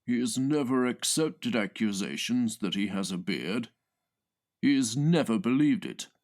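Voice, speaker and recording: A man voicing a discreet, earnest gang member who speaks in a hushed, matter-of-fact, confidential way in a comic audiobook. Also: mid-range voice, animated, a little slow. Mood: confiding. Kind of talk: comic audiobook character reading.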